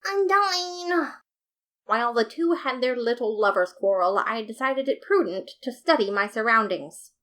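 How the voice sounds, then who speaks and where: A woman reading a fan story aloud as narrator, with lively, playful storytelling. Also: fairly high pitch, moderate pace, hardly any pauses. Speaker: podfic reader.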